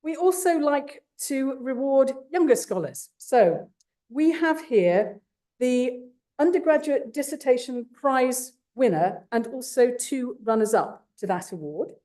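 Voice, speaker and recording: A woman giving a formal awards speech, enthusiastic and warm, with small asides to the audience. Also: high-pitched, animated, unhurried. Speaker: awards presenter.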